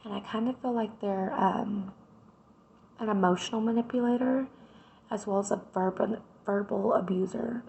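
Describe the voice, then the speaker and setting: A woman, hoarse from a cold, doing a casual tarot reading and chatting straight to her viewers. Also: mid-range voice, steady tone, normal speed, darkish tone, dull audio, a little hiss or hum. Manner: chatty, addresses viewers directly with repeated "right?". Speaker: tarot reader.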